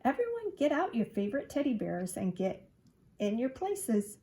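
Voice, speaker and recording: A woman's voice: on the high side, sing-song, normal speed, warm tone, voice pushed up. A woman voicing a kind, reassuring woman schoolteacher in a storybook, encouraging and instructing.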